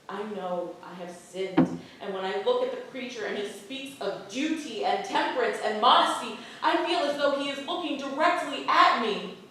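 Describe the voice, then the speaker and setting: A woman's voice: a little high, a little hiss or hum. Woman acting an earnest, guilt-ridden wife in echoing hall. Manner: earnest, impassioned, swelling from hushed to loud. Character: guilt-ridden pious Puritan wife and mother confessing her sins. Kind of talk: stage monologue at a staged play reading. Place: playhouse stage with live audience. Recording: distant mic in very echoey hall.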